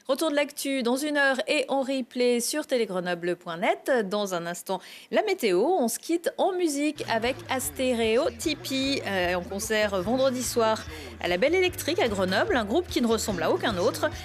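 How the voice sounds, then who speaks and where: A woman presenting a local television news bulletin. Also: on the high side.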